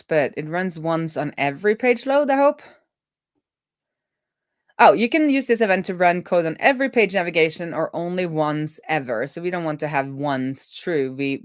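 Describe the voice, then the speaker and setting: Low-voiced woman thinking aloud while coding. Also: dry recording, clean studio sound. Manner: halting, trailing off mid-thought and self-correcting. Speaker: developer hosting a live coding stream.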